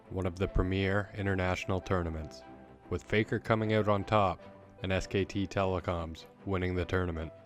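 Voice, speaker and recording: Male documentary narrator, low register, scripted read over a clear music bed.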